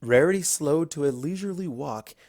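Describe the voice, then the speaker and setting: A storyteller reads scripted fiction with lively, widely moving intonation. The pitch is medium and the reading is expressive. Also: a little fast, no echo, studio quality. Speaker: narrator.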